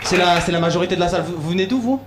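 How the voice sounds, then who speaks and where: Young paris guy doing standup, talks fast and slangy, loud crowd in a bar. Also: high voice, noisy background. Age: young adult. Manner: slangy, repetitive, bantering with audience. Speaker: stand-up comedian. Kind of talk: live stand-up comedy. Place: small bar comedy club. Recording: live club with loud audience. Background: loud crowd reactions.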